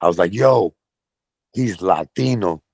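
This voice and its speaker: A middle-aged man from Brooklyn with a New York accent, telling profane, animated stories as a guest on a podcast. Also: sits low, unhurried, vocal fry, no echo. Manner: profane, animated storytelling with voiced quotes. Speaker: guest, metal vocalist. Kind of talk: casual storytelling.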